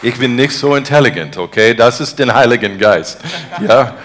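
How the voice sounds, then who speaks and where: A man from Texas with an American accent, a native English speaker, preaching and praying earnestly in halting, broken beginner's speech as he searches for words. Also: medium pitch, animated, a bit bright, some chatter behind. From Texas, United States. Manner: earnest, devout. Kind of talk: informal sermon and spontaneous prayer.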